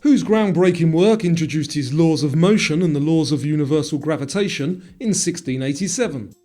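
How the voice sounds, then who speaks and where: A man with a soft, mellow tone and a slightly high pitch narrates a scripted quiz. His delivery is measured, with deliberate pauses between choices, and faint music plays behind him.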